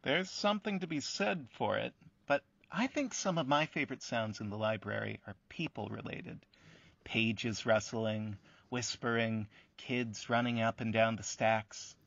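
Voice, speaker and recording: A man's voice: medium pitch, measured pace, vocal fry, fairly bright tone, dry recording, highs cut off. A man playing a curious, mild-mannered interviewer in a radio play, speaking politely and with understatement. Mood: mild, reflective.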